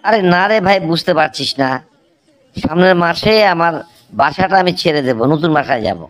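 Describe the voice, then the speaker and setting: An earnest cartoon young man explains himself slowly and patiently, a little exasperated, as a voice actor plays him. His voice moves through wide, animated swings. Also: mid-range voice, natural voice. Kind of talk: cartoon comedy dubbing.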